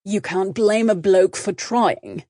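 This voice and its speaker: A woman's voice: medium pitch, expressive, slow, warm tone, no room sound, clean studio sound. A woman voicing a sly, suave, mocking male tabloid reporter with a British accent in a storybook. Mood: sly, unbothered.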